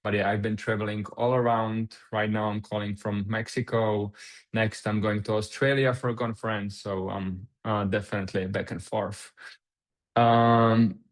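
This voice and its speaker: A man's voice: fairly low pitch, level tone, moderate pace. A man from the Czech Republic whose first language is Czech, giving a technical webinar presentation over a video call, with frequent filler sounds and restarts. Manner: hesitant, many fillers and restarts.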